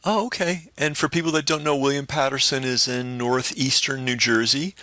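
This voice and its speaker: A man hosting an interview, with a bright, forward voice at a middling pitch. It is recorded dry and close. He feels his way through his questions with lots of fillers and restarts. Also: fairly even intonation, dry recording. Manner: halting questions full of uh and restarts. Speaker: interview host. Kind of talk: casual interview chat.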